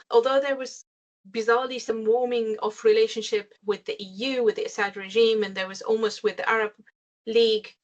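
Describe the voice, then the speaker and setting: A woman from Syria, a guest speaker giving a measured briefing over a video call, with frequent pauses. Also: mid-range voice, muffled recording. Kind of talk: webinar briefing.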